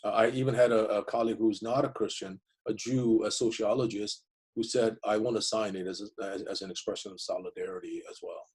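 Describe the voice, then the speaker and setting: A man's voice: fairly low pitch, fairly even intonation, a little fast, a little mellow, husky. A middle-aged man whose first language is Korean, answering interview questions reflectively, with many fillers and restarts. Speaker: interviewee, divinity school professor.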